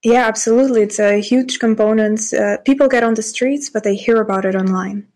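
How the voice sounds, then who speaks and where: A woman in her twenties from Portugal, a politician answering interview questions thoughtfully, with pauses and restarts. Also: fairly high pitch, steady tone, a little mellow. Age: 20s. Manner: thoughtful, hesitant with fillers and restarts. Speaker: politician, guest.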